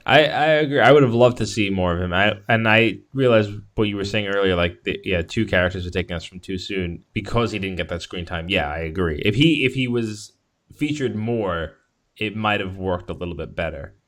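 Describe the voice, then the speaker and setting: A man co-hosting a podcast in a casual discussion, agreeable and halting, often rephrasing himself mid-sentence. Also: lowish voice, fairly bright tone. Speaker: podcast co-host.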